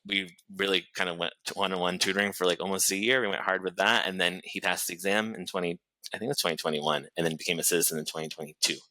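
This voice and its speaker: A man with a Boston accent, a guest on a podcast, telling his story in fast, chatty talk full of fillers and restarts. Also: deep voice, fairly even intonation, pushed and bright, no room sound, low-quality recording. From New Bedford, Massachusetts, USA. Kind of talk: casual interview chat.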